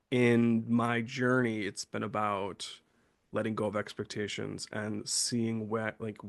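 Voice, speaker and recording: A man's voice: medium pitch, vocal fry, no echo, clean studio sound. A young man from western New York hosting a podcast, chatting casually and easily with lots of fillers. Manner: relaxed, filler-heavy, laughs easily. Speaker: podcast host. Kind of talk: casual chat.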